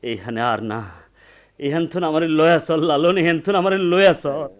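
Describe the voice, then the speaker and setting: Injured young village man, played by an actor: feverish, despairing, slightly high male voice, radio drama. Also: animated, normal speed, lightly acted. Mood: pained despair.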